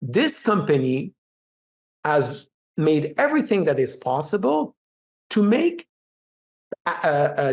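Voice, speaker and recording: A middle-aged man with a French Canadian accent, French his first language, speaking as a guest in an interview, telling stories with emphasis and frequent restarts. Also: high-pitched. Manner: animated, emphatic storytelling with restarts. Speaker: PR agency founder, guest. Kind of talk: conversational interview.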